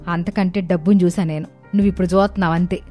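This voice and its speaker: A woman's voice: fairly low pitch, fairly even intonation, racing, warm tone, soft background music, natural voice. A woman playing a blunt, scolding, haughty older mother-in-law in a cartoon family story. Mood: scolding, resentful.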